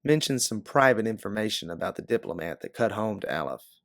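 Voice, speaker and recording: A man's voice: lowish voice, expressive, brisk, vocal fry, fairly bright tone, dry recording, studio quality. A man voicing a guarded, hard-bitten female bounty hunter in a science fiction audiobook. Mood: recounting, guarded. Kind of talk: audiobook character dialogue.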